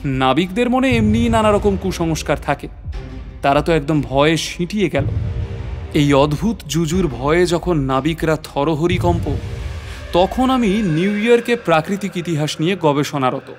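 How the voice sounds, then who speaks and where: A high-voiced natural history professor played by a man narrates his adventure in an audio drama. His storytelling is measured and suspenseful, over faint background music. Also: animated, lightly acted. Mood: scholarly, intrigued.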